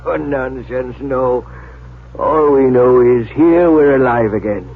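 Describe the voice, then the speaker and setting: An actor playing a kindly, folksy old American country grandfather in a 1950s radio drama, homespun and gentle. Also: medium pitch, takes it slowly. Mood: serene. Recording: tinny, faintly hissy old radio.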